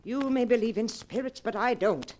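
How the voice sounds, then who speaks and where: An actress playing a stern, dismissive old aunt in 1940s radio drama style. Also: female voice, fairly high pitch, darkish tone, natural voice. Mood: stubborn. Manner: imperious, brisk, dismissive. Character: stern, strong-willed old aunt, scornful of ghosts. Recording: hissy, clicking 1940s radio recording.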